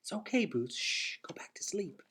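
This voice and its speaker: Man reading as an earnest, husky young boy adventurer. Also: high voice, sing-song, moderate pace, bright, forceful tone, pitched above the performer's own voice, full character voice. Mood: soothing. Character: anxious, determined young boy adventurer, caring big brother. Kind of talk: storybook character voice.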